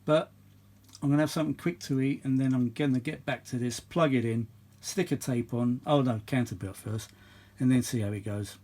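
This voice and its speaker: A man's voice: medium pitch, normal speed, a little mellow. A middle-aged or older man giving casual, chatty repair commentary, rambling and thinking aloud as he works. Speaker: vintage audio repair vlogger.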